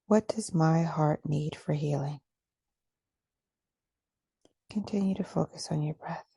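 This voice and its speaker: Woman doing a guided meditation, really mellow n dark voice, bit of creak, slow and gentle, breathy. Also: sits low, no echo. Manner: slow, gentle, deliberate, with long pauses. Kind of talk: guided meditation, soft and soothing.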